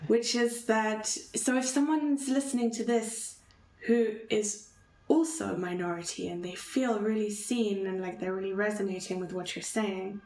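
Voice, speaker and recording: A woman's voice: mid-range voice, steady tone, moderate pace, a little mellow. A woman hosting a podcast, asking questions and wrapping up the talk in a friendly way. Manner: friendly, wraps up and asks questions. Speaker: podcast host. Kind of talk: interview questions. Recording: echoey room, faint noise.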